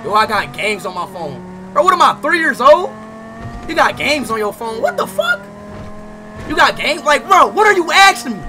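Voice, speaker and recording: A brash young man streams a roleplay game, his voice swinging wildly from low mutters to sudden yells. He swears freely and says bro every few words. Car and game noise fills the background of the stream audio. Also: moderate pace. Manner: brash and excitable, swears and says bro constantly, bursts into shouts. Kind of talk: loud casual livestream chat. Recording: noisy stream audio with game sounds behind.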